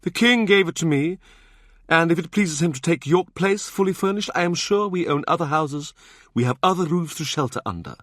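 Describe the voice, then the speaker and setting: A man's voice: a little high, lively intonation, normal speed, fairly bright tone, dry recording, clean studio sound, voice pushed up. A man voicing a portly, jovial aging cardinal who speaks in an expansive, commanding way. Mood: soothing.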